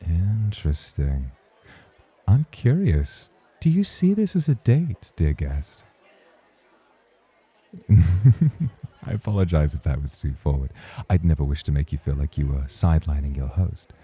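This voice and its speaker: Guy acting as smooth talking flirty male host, husky low voice, confident and formal, asmr roleplay. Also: very animated, measured pace, mellow, full character voice. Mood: flirtatious, teasing. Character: suave, teasing male club host, confident charmer.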